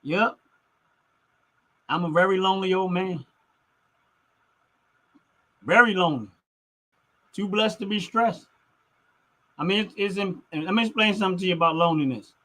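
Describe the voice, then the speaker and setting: A man's voice: high voice, moderate pace, long pauses, pushed and bright. A middle-aged man hosting a livestream, talking casually and with strong opinions, emphatic and repeating phrases to drive them home. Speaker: livestream host. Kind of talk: casual opinionated livestream talk, responding to chat.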